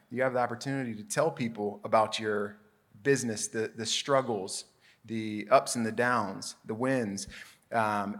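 Casting: male entrepreneur with a low voice, halting at first, then explaining steadily. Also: fairly bright tone. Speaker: panelist, business owner. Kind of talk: live panel discussion.

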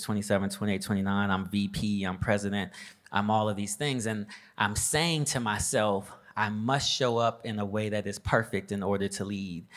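Casting: low-pitched male business leader in his thirties, reflective, building to emphatic points. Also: fairly bright tone. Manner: reflective, preacher-like emphasis, conversational. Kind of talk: live panel discussion.

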